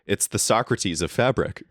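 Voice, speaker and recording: A man's voice: fairly low pitch, normal speed, a bit bright, no echo, studio quality. A young man co-hosting a podcast, reading a comedy script aloud and laughing often as he goes. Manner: laughs often, jokey delivery. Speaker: podcast co-host. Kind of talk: comedic read-through of a script. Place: friend's basement.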